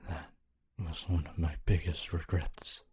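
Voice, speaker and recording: A man voicing a lonely, honorable ghost of a teacher in an ASMR roleplay, speaking in a hushed, breathy, halting and wistful way. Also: low voice, level tone, full character voice. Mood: somber, regretful. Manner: slow, halting, wistful, intimate. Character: honorable lonely male ghost, former teacher, tender and regretful.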